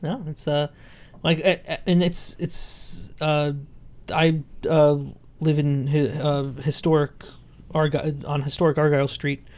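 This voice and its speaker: A man co-hosting a podcast in casual chat, musing and halting as he repeats words. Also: a little high, little pitch movement, darkish tone. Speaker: podcast co-host.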